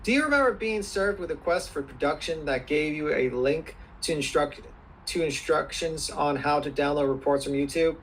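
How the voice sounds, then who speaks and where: A man questioning a witness as an attorney, formal and persistent, repeating questions deliberately. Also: on the high side, slight background noise, rough recording. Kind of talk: formal deposition cross-questioning.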